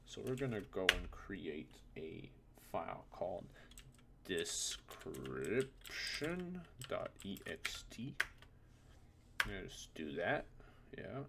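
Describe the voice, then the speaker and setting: A man who is a non-native English speaker, presenting a casual, unscripted software tutorial in an informal, self-deprecating way with muttered asides. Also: sits low, very animated, extremely slow, vocal fry, very noisy. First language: not English.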